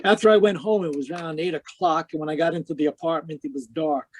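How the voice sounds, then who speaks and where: An actor playing a cynical, wry private eye in terse, slangy 1940s radio detective style. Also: male voice, fairly high pitch, steady tone, quick pace, a little mellow, lightly acted. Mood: wry, world-weary. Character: cynical wisecracking male hardboiled private eye.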